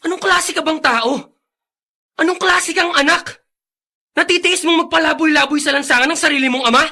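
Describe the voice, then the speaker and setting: Indignant, very high-pitched young friend. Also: male voice, normal speed, vocal fry, sharp tone, heavily acted. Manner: emphatic, reproachful, moralizing. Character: indignant, principled young man defending his friend's father. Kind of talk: Filipino radio drama acting.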